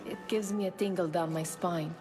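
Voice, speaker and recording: A woman's voice: fairly low pitch, steady tone, normal speed, music in the background, lightly acted. An actress playing a curious, dreamy, teasing young woman in a video game, speaking with playful wonder in a reverberant space. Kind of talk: dramatic video game cutscene acting.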